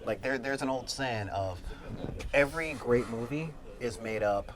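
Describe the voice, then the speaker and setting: A man's voice: medium pitch, a little slow. A man on a convention panel, giving strong opinions in a casual discussion and calling himself the voice of dissent. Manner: opinionated, argumentative, repeats himself. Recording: outdoor convention crowd noise. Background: crowd chatter.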